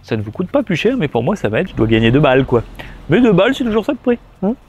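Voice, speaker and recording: A man's voice: on the high side, sing-song, quick pace, darkish tone. Casual man demonstrating gear, noisy background. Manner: informal, chatty, addressing the viewer directly. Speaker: motorcycle gear reviewer. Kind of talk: casual hands-on product tutorial, thinking aloud.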